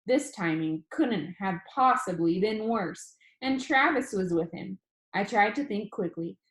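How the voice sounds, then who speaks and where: Female storybook reader, mid-range voice, expressive first-person narration, noticeably roomy sound. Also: medium pitch, a little slow. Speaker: reader reading a novel aloud. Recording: roomy, echoing room sound.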